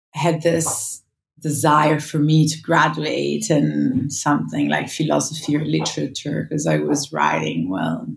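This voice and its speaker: A woman's voice: sits low, gravelly, roomy sound. A woman in her thirties with an Italian accent, a guest chatting reflectively from home over a video call, with many fillers and restarts. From northern Italy. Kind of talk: casual interview chat. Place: home room. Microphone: earbuds on video call.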